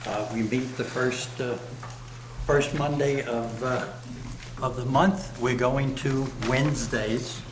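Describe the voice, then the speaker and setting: Loud-voiced man addressing a town board. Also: medium pitch, steady tone, takes it slowly. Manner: loud, unamplified voice. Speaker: historical society member presenting to the board. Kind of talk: public presentation at a meeting. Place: town hall meeting room. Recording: echoey room with background noise.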